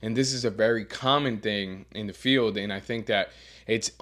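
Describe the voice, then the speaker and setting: A man who coaches strength and teaches as a professor, giving earnest career advice with a deeper voice and frequent repeated words as he restarts. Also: normal speed, a bit bright. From Miami. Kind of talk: advice monologue.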